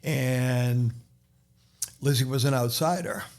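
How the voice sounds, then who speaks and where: A man's voice: mid-range voice, fairly even intonation, takes it slowly, a little mellow. A man with a Boston accent, a podcast guest and author, talking in a casual chat with many restarts and fillers.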